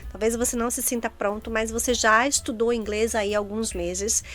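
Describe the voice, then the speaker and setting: A woman's voice: a little high, fairly even intonation, brisk, fairly bright tone, soft background music. A middle-aged Brazilian woman co-hosting in casual chat, speaking Brazilian Portuguese and talking straight to viewers. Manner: chatty, addresses viewers directly. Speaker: co-host.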